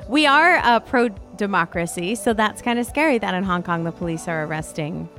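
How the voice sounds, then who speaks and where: A woman's voice: mid-range voice, expressive, normal speed, fairly bright tone, faint music behind. A woman chatting casually as co-host of a podcast. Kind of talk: casual chat.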